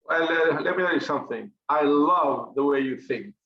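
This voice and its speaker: A man's voice: fairly high pitch, normal speed, vocal fry. A middle-aged man moderating a webinar panel, speaking hesitantly with many fillers. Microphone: webinar call microphone. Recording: dull lo-fi call audio with faint hiss.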